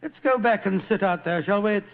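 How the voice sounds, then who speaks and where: High, creaky old British doctor. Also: male voice, brisk, phone-quality sound. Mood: genial. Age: older. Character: elderly genial British doctor recounting his wartime adventures. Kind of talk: old radio drama acting and narration. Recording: thin band-limited old radio recording.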